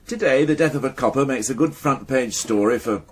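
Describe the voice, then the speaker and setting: An actor playing a middle-aged, well-educated British police inspector in a radio drama, dry, wry and hard-line in manner. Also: male voice, mid-range voice, a little fast, lightly acted. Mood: sombre, cynical.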